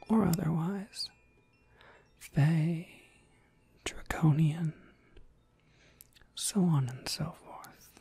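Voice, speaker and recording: Woman doing asmr witch running a spell shop, low breathy whisper, bit of creak, polite and businesslike. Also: no room sound, full character voice. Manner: measured, polite, gently explanatory. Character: courteous, businesslike witch shopkeeper who crafts spells.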